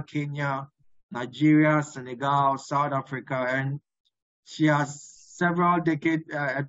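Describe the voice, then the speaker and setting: A man hosting a webinar and introducing a guest, halting with many filler pauses. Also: fairly high pitch, fairly bright tone, no echo. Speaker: host. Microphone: video call. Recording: dry, dull lo-fi call audio.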